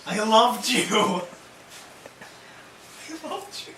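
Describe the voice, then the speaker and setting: A young adult friend joking around in casual chat, speaking quietly with a sore throat. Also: mid-range voice, very slow, a little hiss or hum. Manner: playful, jokey. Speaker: vlogger's friend. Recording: echoey room.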